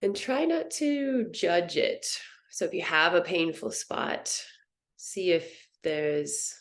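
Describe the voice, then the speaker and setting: A woman teaching a guided meditation in a soothing, gentle, unhurried manner. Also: lowish voice, very animated. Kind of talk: guided yoga nidra meditation.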